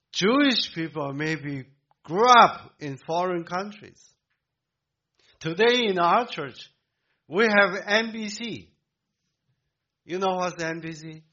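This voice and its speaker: A man born in Korea, preaching a sermon to a congregation in an emphatic way, with halting restarts. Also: high voice, sharp tone, lo-fi sound. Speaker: pastor.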